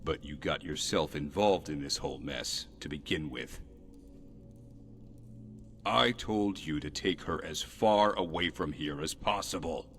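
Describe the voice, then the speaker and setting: Fantasy game guard officer, male actor: rock-bottom pitch, gruff, stern, tired of it all, dry sarcasm. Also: measured pace, fairly bright tone, faint music behind, full character voice. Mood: stern, irritated. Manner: weary, stern, sardonic. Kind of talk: video game character dialogue.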